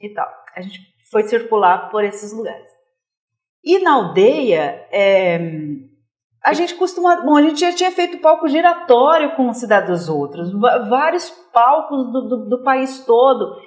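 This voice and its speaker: A woman with a Brazilian accent, a theater actress telling a story in a casual TV interview, animated and full of restarts. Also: fairly high pitch, unhurried. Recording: roomy echo.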